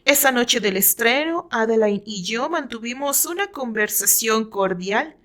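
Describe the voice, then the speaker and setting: A woman's voice: fairly high pitch, animated, a little slow, fairly bright tone. A woman voicing a sly, honey-sweet woman who feigns innocence in a dramatized story, coy and careful. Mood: coy lying.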